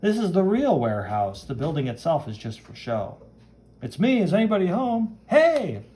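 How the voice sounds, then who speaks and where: A man's voice: on the high side, huge pitch swings, normal speed, warm tone, voice pushed up. A man voicing a cocky, chivalrous young superhero, reading comic-book lines aloud in a brash, dramatic manner. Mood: brisk, wondering. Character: cocky, chivalrous young male superhero who wields flame.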